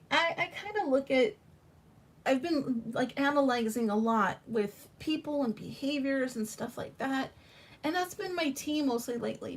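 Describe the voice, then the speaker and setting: High-pitched raspy woman chatting. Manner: rambling, frank, restarts often. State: hoarse from allergies. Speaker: tarot channel host. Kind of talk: casual livestream chat.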